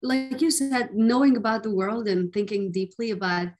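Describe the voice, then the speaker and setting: A woman's voice: fairly high pitch, steady tone, moderate pace, darkish tone. Brief: woman in her twenties from Afghanistan, interview guest, earnest and fluent. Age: 20s. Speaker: guest, student and activist. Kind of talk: interview answers.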